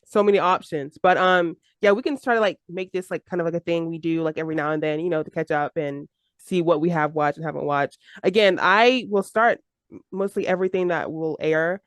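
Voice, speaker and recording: A woman's voice: lowish voice, brisk, no room sound, studio quality. A woman hosting a casual podcast chat, talking with lots of fillers and easy laughter. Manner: chatty, laughing, filler-heavy. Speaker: podcast host.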